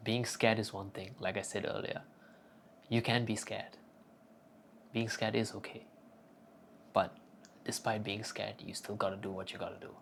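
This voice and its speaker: A young man talks straight to camera with cocky, crude humor, handing out blunt advice. His voice sits low and carries a gravelly fry. Faint noise hums underneath. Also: a bit bright. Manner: cocky, profane, joking bravado. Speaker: self-improvement vlogger. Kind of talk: casual crude advice monologue.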